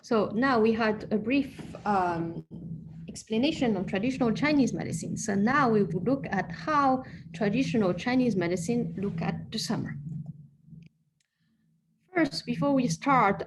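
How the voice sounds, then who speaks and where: A woman speaker at a middle pitch gives an earnest, explanatory presentation, pausing on fillers and correcting herself mid-sentence. A faint hiss of background noise sits under her voice. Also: low-quality recording. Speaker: acupuncturist giving an online presentation. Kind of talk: informal lecture with slides.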